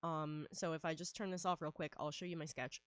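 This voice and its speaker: A woman's voice: low voice, fairly even intonation, brisk, no room sound, clean studio sound. Chatty woman with a nasal head cold. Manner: chatty, laughs often, many fillers. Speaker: livestreaming artist. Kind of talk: casual streaming chat while drawing.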